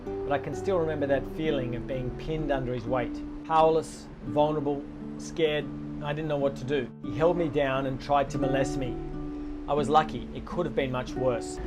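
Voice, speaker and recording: Earnest middle-aged man narrating over music. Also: medium pitch. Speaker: self-defense coach. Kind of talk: scripted promotional narration. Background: background music.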